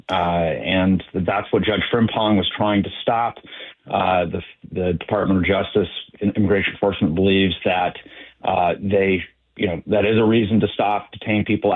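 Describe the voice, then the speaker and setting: A man as a legal analyst guest on a news interview, explaining in a measured, halting way with many fillers. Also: deep voice, husky. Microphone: telephone. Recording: tinny phone line.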